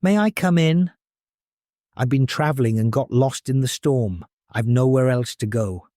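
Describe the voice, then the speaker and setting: A man voicing a refined young princess who speaks softly, clearly and politely, weary and earnest, in a storybook tale. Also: mid-range voice, lively intonation, measured pace, dry recording, studio quality, natural voice. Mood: pleading.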